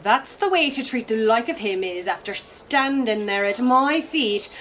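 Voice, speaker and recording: Haughty young Irish village beauty. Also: female voice, on the high side, animated, a little slow, fairly bright tone, slight background noise. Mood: gleeful taunting. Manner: haughty, taunting. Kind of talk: theatrical radio play acting.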